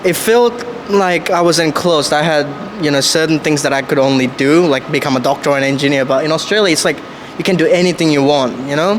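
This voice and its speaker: Male podcast guest from Sri Lanka, slightly high-pitched, earnest slangy storyteller, faint background noise. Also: fast. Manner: earnest, slangy, says "bro" and "you know". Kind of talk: casual podcast chat, storytelling.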